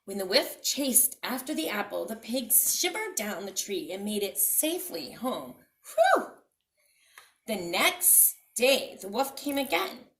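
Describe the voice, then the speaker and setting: A woman's voice: a little high, big pitch swings, unhurried, a bit bright. A woman reading a children's story aloud with dramatic expression, speaking directly to young listeners.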